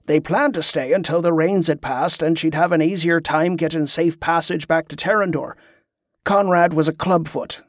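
A plain-spoken man in a fantasy audiobook, voiced by the narrator. He reminisces in a measured, reflective manner at a middle pitch. The sound is close and dry. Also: dry recording, lightly acted.

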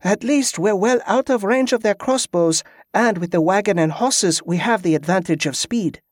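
A man's voice: high voice, moderate pace, no echo, clean studio sound, pitched above the performer's own voice. A man reading an audiobook, voicing a wry, playful woman with a raised, teasing voice. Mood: worried, practical. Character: wry, clever adult woman, a thief.

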